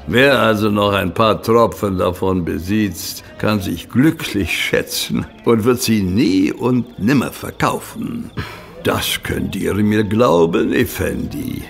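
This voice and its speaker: Very gravelly old Egyptian sheikh. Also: male voice, on the low side. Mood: mysterious, proud. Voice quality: gravelly, creaky. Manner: slow, theatrical, secretive. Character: sly old Egyptian sheikh dealing in antiquities. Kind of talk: audio drama acting. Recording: music under the voice.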